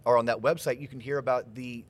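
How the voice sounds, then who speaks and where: A man's voice: medium pitch, steady tone. A man hosting a podcast interview at a conference, joking and hyped in casual talk. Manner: hyped, joking, fast-talking. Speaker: podcast host. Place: conference venue.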